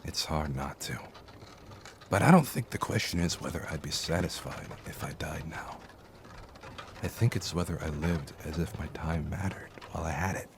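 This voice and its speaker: An actor playing a weathered, courteous, philosophical cart driver in a fantasy audio drama, speaking formally and reflectively. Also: male voice, extremely low pitch, moderate pace, background noise. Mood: reflective, earnest.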